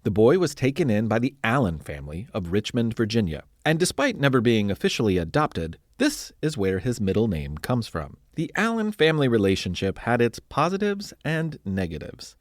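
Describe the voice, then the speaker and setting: A man's voice: medium pitch, huge pitch swings, moderate pace, hardly any pauses, dry recording, studio quality. A man hosting and narrating a history podcast for children, reading a script in a conversational, playfully dramatic way.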